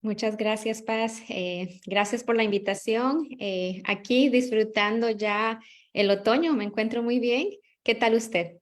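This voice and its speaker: A woman's voice: a little high, measured pace. A woman with a Costa Rican accent answering as a guest psychologist in a conversational, reflective way, often rephrasing herself. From Costa Rica.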